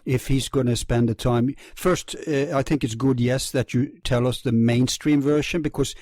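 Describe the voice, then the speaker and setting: A man's voice: medium pitch, steady tone, a little mellow, dry recording. Guy hosting a podcast, mid voice, talks to listeners straight, dry close sound. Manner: deliberate, addresses listeners directly. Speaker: podcast host. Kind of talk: interview hosting.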